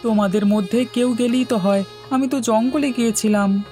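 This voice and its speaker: A woman plays an obedient young village boy from a cartoon fairy tale. The voice is fairly high, earnest and polite. Music plays clearly behind it. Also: moderate pace, dark tone, close to an everyday voice. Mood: meek, questioning. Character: obedient, hardworking youngest brother, a poor boy. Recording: music bed under the voice.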